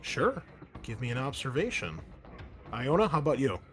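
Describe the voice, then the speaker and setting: A man running a tabletop roleplaying game as game master, narrating off the cuff in a halting, conversational way. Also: medium pitch, sing-song. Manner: halting, conversational, thinking aloud. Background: background music.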